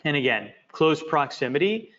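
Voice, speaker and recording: Man, presenter, slide walkthrough, halting with fillers, muffled audio. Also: a little high, big pitch swings, a bit bright. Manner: halting, many fillers and repeated words. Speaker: presenter of an investment project video. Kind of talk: walking through presentation slides.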